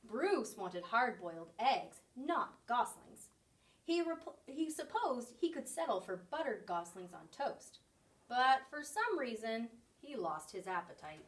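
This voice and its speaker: Lady reading a kids book out loud, highish really animated voice, a bit far from the mic. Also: measured pace, slight background noise. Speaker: story reader. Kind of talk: expressive picture book read-aloud for children.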